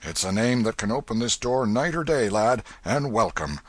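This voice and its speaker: A man voicing a bluff, kindly old farmer who talks heartily and loudly. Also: lively intonation, normal speed, no room sound, lightly acted. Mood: hearty. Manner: hearty, booming, fatherly. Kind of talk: audiobook character voice.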